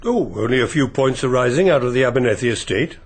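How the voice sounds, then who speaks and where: An English actor in a British radio drama playing a courteous older family solicitor with a formal, politely understated manner. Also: male voice, medium pitch, animated, fast, lightly acted. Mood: tactful, reassuring. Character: courteous, discreet older male family solicitor, dryly tactful.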